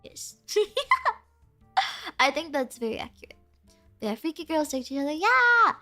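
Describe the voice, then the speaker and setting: A woman's voice: sits high, sing-song, unhurried, bright, forceful tone, soft background music. A young woman streaming and chatting casually with her viewers, bubbly and giggly, full of "like" fillers, with a stuffy, sniffly nose.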